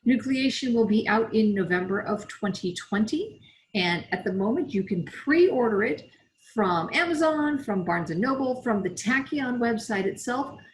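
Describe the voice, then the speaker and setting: A woman's voice: fairly high pitch, expressive, moderate pace, slight background noise, poor audio. A woman reading as an author, in the style of scripted audiobook narration. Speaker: author reading from own novel.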